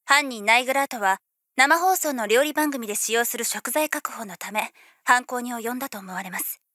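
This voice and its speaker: A woman's voice: a little high, normal speed, sharp tone, dry recording, clean studio sound. A woman playing an earnest young TV news announcer in an anime audio drama.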